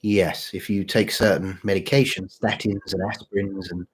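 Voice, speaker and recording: A man with a slightly sore throat and husky voice chats casually to livestream viewers as host, halting and repeating his phrases. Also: low-pitched, flat delivery. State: mild sore throat, voice deeper than usual. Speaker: channel host and story writer.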